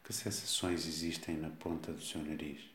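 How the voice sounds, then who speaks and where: A man with a European Portuguese accent guides a body scan meditation, giving slow, calm instructions with long pauses. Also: rumbling low, a little hiss or hum. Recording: echoey, reverberant room sound.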